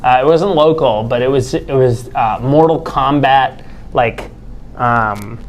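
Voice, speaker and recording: A man's voice: mid-range voice, slow. A middle-aged man born in Mexico, first language Spanish, chatting casually about beer as a co-host in an office room. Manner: relaxed, chatty, many fillers. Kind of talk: casual tasting chat. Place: office room with a TV screen, indoors.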